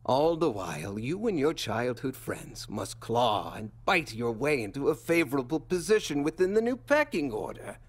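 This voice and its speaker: A man playing an unctuous, quietly threatening school official, speaking formally and theatrically in a video game. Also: mid-range voice, very animated, husky. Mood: falsely welcoming. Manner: formal, measured, insinuating.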